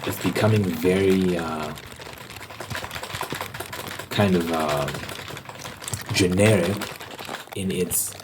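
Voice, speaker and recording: A man hosting a rambling, opinionated ASMR monologue, haltingly repeating phrases for emphasis. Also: deep voice, background noise. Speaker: ASMR host.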